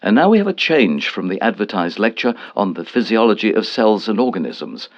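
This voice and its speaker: An actor playing a formal, measured television continuity announcer introducing educational programmes in an audio drama. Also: male voice, on the low side, big pitch swings. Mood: formal, matter-of-fact. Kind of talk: scripted broadcast continuity announcement. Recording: dull vintage broadcast sound.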